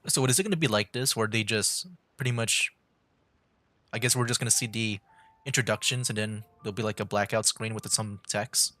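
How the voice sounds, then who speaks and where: A man doing casual livestream game commentary, reading aloud in a halting way. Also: fairly low pitch, fairly even intonation, bright, forceful tone, no echo. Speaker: gaming streamer. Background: game music.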